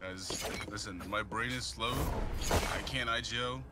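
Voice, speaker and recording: A man's voice: fairly low pitch, steady tone, a little slow, heavy background noise. A man on team voice chat giving loose, rambling calls to his squad. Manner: loose, rambling, says bro. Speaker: teammate.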